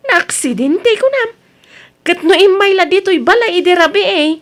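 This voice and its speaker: A woman's voice: extremely high pitch, expressive, moderate pace, fairly bright tone. A woman with an Ilocano Filipino accent acting a frank, reasonable neighbor in a radio drama. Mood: surprised. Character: frank, reasonable female neighbor who reproves her gossiping friend.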